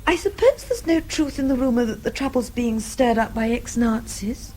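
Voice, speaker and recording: A woman's voice: on the high side, expressive, moderate pace, mellow, low-quality recording. An actress playing an outspoken, earnest young Englishwoman with a British accent in a radio drama, well-spoken and polite. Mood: frank, annoyed.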